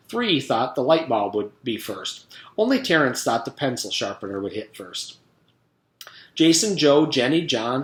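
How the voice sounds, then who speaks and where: A man narrates a children's story as a teacher would to a class. His reading is expressive, with lively pitch movement. The room gives his voice an audible echo. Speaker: teacher reading aloud to pupils.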